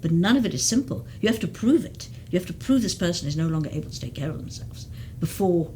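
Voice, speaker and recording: A woman's voice: lowish voice, animated, quick pace, a little mellow, a little hiss or hum. A woman who works as a geriatric case manager, explaining at length in an interview with frequent restarts. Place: community TV studio.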